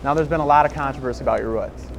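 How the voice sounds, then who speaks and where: A man's voice: medium pitch, racing, creaky. A male reporter asking direct, scripted interview questions outdoors in a city. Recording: noisy street with passing traffic.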